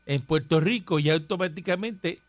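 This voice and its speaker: A man's voice: on the high side, normal speed, no room sound. A man from Cuba hosting a casual radio talk show, rambling and emphatic with many fillers. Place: radio studio.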